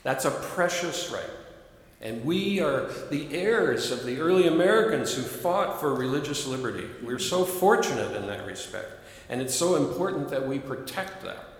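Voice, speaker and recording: A man's voice: mid-range voice, lively intonation, normal speed. An older man from the Midwest, a minister giving a reflective, earnest sermon. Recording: echoey hall, long reverb.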